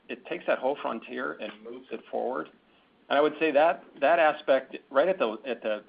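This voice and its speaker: Dull-sounding man explaining business, halting delivery. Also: medium pitch. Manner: halting, repeats words and phrases. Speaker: company executive on an earnings call. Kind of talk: semi-formal business explanation in answer to questions. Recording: dull, band-limited conference call audio.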